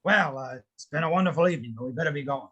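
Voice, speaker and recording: A man playing a nervous, evasive old man in a table read, hurried with hesitant starts. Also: a little high, pushed and bright, slightly rough. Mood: uneasy, evasive.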